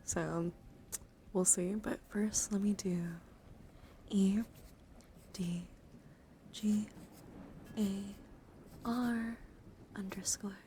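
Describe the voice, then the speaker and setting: Softly whispering woman, noisy ASMR livestream. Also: mid-range voice, steady tone, very slow, long pauses. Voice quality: soft, breathy, often whispered. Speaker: livestreamer and VTuber host. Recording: clearly noisy ambient background.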